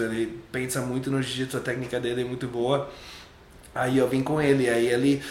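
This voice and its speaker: A young adult man, English-native, talks in a relaxed, rambling way and keeps repeating himself. His voice sits in the middle of the range. An echoey room with faint ambience is behind him. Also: medium pitch, slight background noise. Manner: loose and chatty, repeats and restarts words. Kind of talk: relaxed interview chat.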